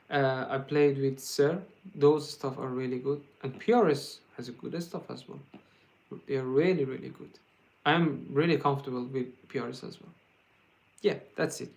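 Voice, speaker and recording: A man from Iran with a Persian accent, hosting a guitar livestream and answering viewer questions in a casual, halting way, full of fillers and restarts. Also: medium pitch, creaky. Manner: laughs often, joking.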